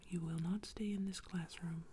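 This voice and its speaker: A woman's voice: on the low side, fairly even intonation, moderate pace, mellow, slight background noise, close to an everyday voice. A woman whispering the part of a stern male schoolteacher scolding a pupil.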